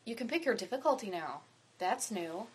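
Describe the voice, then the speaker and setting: A woman's voice: mid-range voice, lively intonation, moderate pace, slightly rough. Hesitant woman in casual gaming chat. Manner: hesitant, many ums and trailing thoughts. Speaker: podcast co-host.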